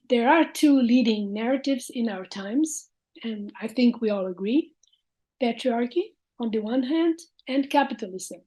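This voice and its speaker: A woman lecturing in English with a Slovene accent, speaking in a slow, reflective way with frequent hesitant fillers. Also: on the high side, dark tone, poor audio. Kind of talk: academic lecture.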